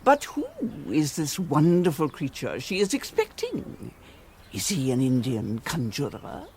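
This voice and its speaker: An actress playing a haughty, condescending older aristocratic widow with an upper-class English accent in a comic radio drama. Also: female voice, low-pitched, wildly swooping pitch, measured pace, a little mellow, a little hiss or hum, exaggerated voice. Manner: grand, condescending, teasing.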